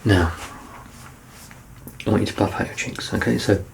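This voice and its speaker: A man in his thirties speaks in a deep, softly creaking voice, gently guiding a patient in an ASMR medical roleplay. He is reassuring and self-deprecating, often dropping near a whisper. There is clear background noise behind him. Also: normal speed. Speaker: ASMR roleplayer playing a doctor. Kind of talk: soft-spoken ASMR medical exam roleplay.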